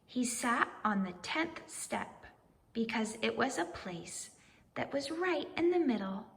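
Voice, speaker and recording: Woman, children's read-aloud, highly varied intonation, slightly high pitch, unhurried, reverberant recording. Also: lively intonation. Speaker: storybook reader.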